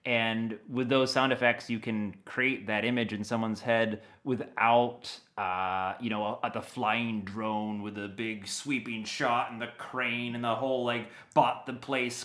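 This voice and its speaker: A man's voice: medium pitch, bright, forceful tone. A middle-aged American man chatting informally as an interview guest, telling stories with slang and quoted thoughts. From Maine, United States. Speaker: interview guest, audio dramatist. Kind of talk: casual chat.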